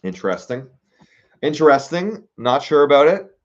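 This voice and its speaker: A man's voice: a little high, big pitch swings, lo-fi sound. A young man hosting a casual livestream, reading out viewer suggestions and thinking aloud with fillers and restarts. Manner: musing aloud, reading comments, halting with fillers.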